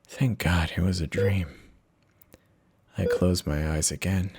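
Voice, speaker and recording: A man giving a theatrical, expressive dramatic reading of a first-person horror story, with dramatic pauses. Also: low voice, very noisy. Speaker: narrator of horror stories.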